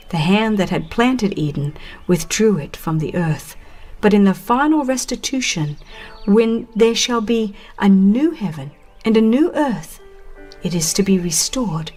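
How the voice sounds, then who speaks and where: Woman, audiobook narrator, slow pace, solemn, measured dramatic delivery, faint music behind. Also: mid-range voice, darkish tone. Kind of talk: dramatized scripted narration of a religious book.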